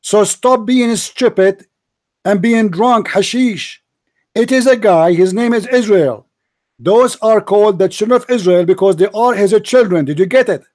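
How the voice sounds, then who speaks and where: A man argues in a heated debate, his voice sitting high for a man. He mocks, repeats himself and hammers the same demand. The sound is dry and close to the mic. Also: normal speed, darkish tone, no echo. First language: Arabic. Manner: mocking, combative, repeats himself, laughs at opponent. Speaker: debate host.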